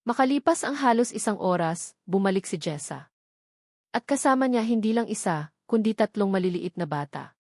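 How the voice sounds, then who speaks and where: A woman narrates a scripted romantic drama in a storytelling style. Her voice sits at a medium pitch for a woman. The sound is dry and close, with no room around it. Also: expressive, normal speed, darkish tone, no room sound. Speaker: narrator of audio love stories.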